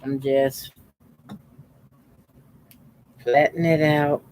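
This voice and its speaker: A man in his fifties, a quilting channel host, calmly talking through a how-to demonstration at his sewing machine with his voice deliberately kept low. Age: 50s. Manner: talks unhurriedly while sewing, deliberately keeping his voice lowered.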